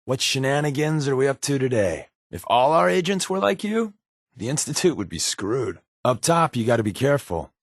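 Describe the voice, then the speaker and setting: A man's voice: medium pitch, sing-song, moderate pace, no room sound, studio quality. A man playing a wisecracking, secretive undercover agent in a video game, with a wry, glib, conversational delivery. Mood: wry, teasing. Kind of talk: video game companion dialogue.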